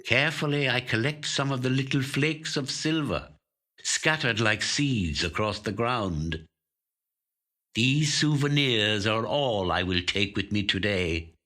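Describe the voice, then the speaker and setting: An actor voicing an aging, watchful, covetous magpie in measured, deliberate first-person literary storytelling. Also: male voice, medium pitch, sharp tone. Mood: watchful, fascinated. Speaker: actor reading a short story. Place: recording studio.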